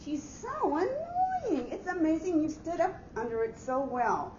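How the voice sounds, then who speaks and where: A woman's voice: high-pitched, sing-song, measured pace, warm tone. A woman playing a gossipy, sympathetic office assistant, confiding in a staged story reading. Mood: gossipy, annoyed. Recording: muffled, noisy, echoey hall recording.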